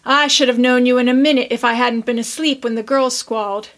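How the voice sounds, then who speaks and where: A woman's voice: on the high side, steady tone, fairly quick, fairly bright tone, natural voice. A woman voicing a dry, matter-of-fact farm youth in a storybook reading.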